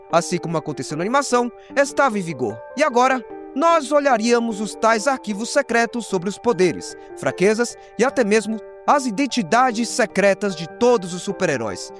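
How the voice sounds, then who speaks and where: A man's voice: high voice, a bit bright. A man with a Brazilian accent telling stories as a video host, dramatic and slangy with playful asides. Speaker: host of a horror recap channel. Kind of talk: dramatic scripted storytelling narration. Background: music.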